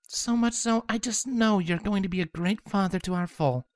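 A woman playing a gentle, loving pony wife in an audio story, tender and emotional. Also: mid-range voice, normal speed, no room sound, close to an everyday voice. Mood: tender, nervous. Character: gentle, loving adult female pony, newly pregnant wife. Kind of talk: audio story character acting.

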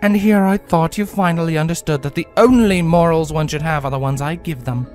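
A man voicing a regal, scheming female pony tyrant who talks slowly and mockingly in a purring voice. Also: on the high side, darkish tone, soft background music, voice pushed up, close to an everyday voice. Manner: slow, purring, contemptuous.

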